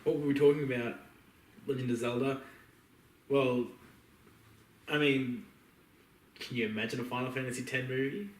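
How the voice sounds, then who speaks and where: A man's voice: medium pitch, steady tone, moderate pace, long pauses. A man co-hosting a podcast, chatting casually in a slangy, opinionated and joking way. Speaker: podcast co-host. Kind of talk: casual chat about video games and films. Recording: roomy echo, distant mic.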